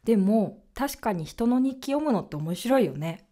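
A woman plays a blunt, imperious female spirit in a radio drama. She teases and demands in a fairly high voice with very animated intonation. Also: normal speed. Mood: teasing. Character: bossy, teasing female spirit, curious and blunt.

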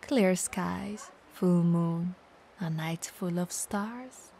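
A woman's voice: lowish voice, drawn-out words, vocal fry, dark tone, slight background noise, exaggerated voice. A woman playing a playful, clumsy, bloodthirsty female vampire who mutters theatrically to herself in an ASMR audio roleplay. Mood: musing, wistful.

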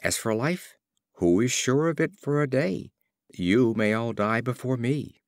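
A man voicing a dignified, measured old man in a storybook fable. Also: medium pitch, dry recording, studio quality, natural voice. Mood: calm reproof.

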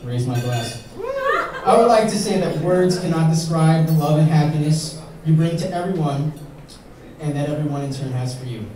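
Joking best man in an echoey hall. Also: fairly high pitch. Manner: joking, self-mocking, pausing for laughs. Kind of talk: reading a wedding toast. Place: large banquet hall at a wedding, indoors. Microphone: handheld mic, filmed by a far camera. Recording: echoey hall from far off, crowd laughing.

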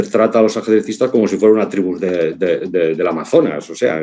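A man in his fifties with an accent from Spain, giving an informal conference talk over a video call in a reflective, halting way, often checking in with short tags. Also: lowish voice, dark tone. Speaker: congress speaker, writer and philosopher. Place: living room at home, on a video call.

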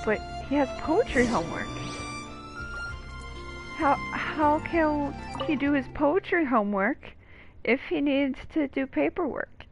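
A woman's voice: sits high, lively intonation, unhurried, lo-fi sound, over music. A woman giving casual gameplay commentary with dry, wry asides.

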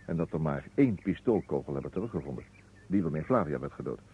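An actor playing a shrewd, unhurried police chief detective in an old radio drama, measured and probing. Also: male voice, very deep voice, expressive, slightly rough, exaggerated voice. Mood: musing, probing. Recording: muffled, narrow-band vintage radio recording.

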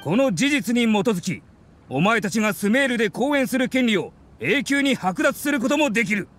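Scornful male academic, anime game antagonist, higher-register voice, formal and condescending, faint ambience behind. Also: lively intonation, brisk, fairly bright tone, a little hiss or hum. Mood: threatening. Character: haughty, scornful male academy scholar accusing a theater.

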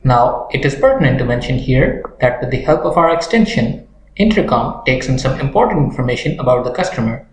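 A young man presenting a software tutorial in a scripted narration style. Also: medium pitch, animated, brisk. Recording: roomy echo with background noise, clicks.

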